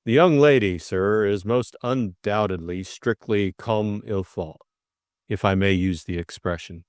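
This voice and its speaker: A man voicing a stiff, deferential valet in an audiobook, speaking in a measured, unflappable, scrupulously polite way. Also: fairly low pitch, dry recording, studio quality, natural voice. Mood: implacable, formal. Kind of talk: audiobook character acting.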